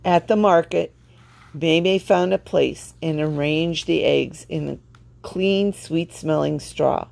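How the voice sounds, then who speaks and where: A woman reading a children's storybook aloud with expressive, deliberate phrasing. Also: low voice, creaky. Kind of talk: read-aloud storybook narration.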